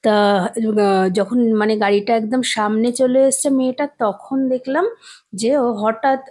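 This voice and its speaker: A woman casually recounting a personal experience in a hesitant, halting way. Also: fairly high pitch, steady tone, darkish tone. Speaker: co-narrator.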